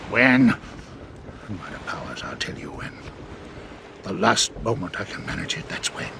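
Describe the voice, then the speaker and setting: A menacing pirate ringleader in an audio drama, feigning gentility in old sailor's dialect. The voice is low and full of gravel. Clear background noise runs behind it. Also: male voice, animated, normal speed. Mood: stern, scheming. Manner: old seafaring dialect, wheedling and threatening by turns. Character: cunning, menacing pirate ringleader, falsely genteel.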